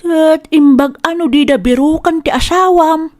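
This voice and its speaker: A woman's voice: high-pitched, unhurried, a little mellow. An actress playing a concerned, disapproving older mother in an Ilocano radio drama, questioning fretfully in an Ilocano accent. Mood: concerned disapproval.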